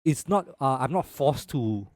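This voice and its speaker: A young Malaysian man chatting on a podcast, easygoing and opinionated, tagging "lah" onto his points. His voice sits at an ordinary middle pitch and sounds close and dry, with no room echo at all. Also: measured pace. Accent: Malaysian English. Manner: relaxed and opinionated, ends phrases with "lah". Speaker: podcast co-host. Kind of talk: casual podcast chat.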